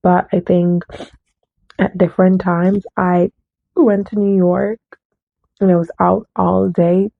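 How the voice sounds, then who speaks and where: Low gravelly woman, slightly nasal. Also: flat delivery, slow, pauses often, no echo. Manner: rambling, often correcting herself. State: coming down with a cold, slightly nasal. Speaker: book vlogger. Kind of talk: casual book haul chat. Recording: dry, tinny phone-like sound.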